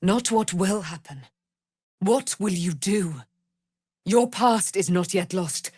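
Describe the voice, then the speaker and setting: A woman's voice: medium pitch, slow, darkish tone, breathy edge, no room sound, clean studio sound. A woman playing a proud, righteous immortal warrior, daughter of a moon goddess, with grand, archaic, declamatory diction in a fantasy video game. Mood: solemn, stately.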